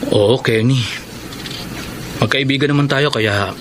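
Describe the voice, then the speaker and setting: A man's voice: mid-range voice, a little slow, background noise, close to an everyday voice. A man acting a patient but frustrated boyfriend in a Filipino radio drama. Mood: frustrated, confiding. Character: long-suffering live-in boyfriend, patient but frustrated office worker.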